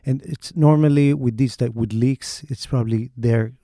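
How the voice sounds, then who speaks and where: Israeli-accented man with a mellow, dark-toned voice, recorded dry and close with no room sound at all. He recounts things conversationally in an interview, halting and restarting as his thoughts catch up. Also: mid-range voice, fairly even intonation, no echo. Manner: halting, with frequent restarts and repeated words. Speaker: investigative journalist.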